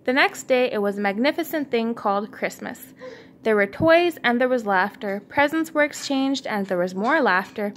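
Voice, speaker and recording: Mom reading a kids book out loud, highish voice, encouraging, little bit of home noise. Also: female voice, expressive, moderate pace. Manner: encouraging, asks the child questions. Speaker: mother reading to her young son. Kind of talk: storybook read-aloud. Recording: home recording with faint background noise.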